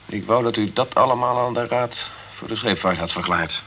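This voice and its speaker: An actor playing a sceptical male investigator in a radio crime drama, composed and persistent, with measured, pointed questioning. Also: medium pitch, bright, forceful tone, a little hiss or hum, rough recording. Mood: measured, regretful.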